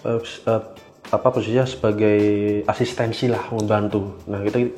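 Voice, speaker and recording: Low-voiced young Indonesian man, casual and halting. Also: fairly even intonation, a little mellow, faint music behind. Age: young adult. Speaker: interview guest, government cybersecurity engineer. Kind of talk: casual explanatory interview answers.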